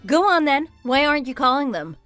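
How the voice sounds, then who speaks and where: A woman playing an indignant, sarcastic wife in a dramatized first-person story, with an expressive, exasperated delivery. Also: high-pitched, brisk, no echo, soft background music, heavily acted. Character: indignant, sarcastic wife at the end of her patience.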